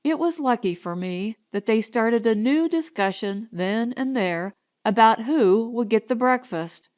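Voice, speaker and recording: Slow-paced woman narrating, higher-pitched voice. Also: expressive, darkish tone. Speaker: audiobook narrator. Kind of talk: scripted first-person narration of a comic novel.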